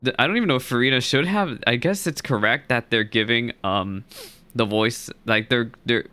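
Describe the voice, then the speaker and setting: A man's voice: lowish voice, sharp tone, clean tone, no room sound. A man hosting a reaction video, giving casual, chatty commentary.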